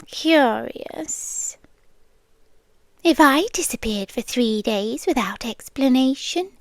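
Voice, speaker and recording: A British woman playing a possessive, sweetly menacing inhuman girlfriend in an ASMR roleplay, breathy and often whispering with deliberate pauses. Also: high voice, no echo, heavily acted. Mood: cool devotion. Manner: slow, deliberate, pausing between short phrases.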